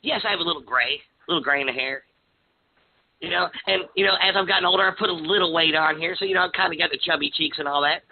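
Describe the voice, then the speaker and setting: A man's voice: on the high side, fast. A man in his forties hosting a casual radio talk show, stammering and poking fun at himself. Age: 40s. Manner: stammering, self-mocking. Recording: muffled phone-like internet radio.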